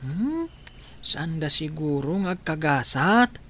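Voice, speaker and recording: A performer playing a gentle, consoling older orphaned brother in a radio drama. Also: medium pitch, lively intonation, takes it slowly. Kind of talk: radio drama acting in Ilocano.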